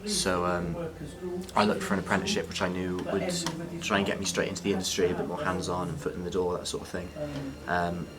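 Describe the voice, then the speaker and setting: A man's voice: sits low, fast talker, vocal fry, over a noisy crowd. A young man answers candidly, with frequent fillers. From Wales. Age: young adult. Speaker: film apprentice. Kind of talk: interview answers. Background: background music.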